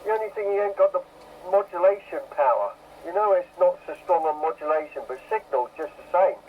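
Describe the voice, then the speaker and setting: A man's voice: high-pitched, lively intonation, a little fast, faint music behind. An agreeable man chatting casually over CB radio, often repeating himself. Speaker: amateur CB radio operator. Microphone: CB radio set. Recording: dull, lightly hissy CB radio.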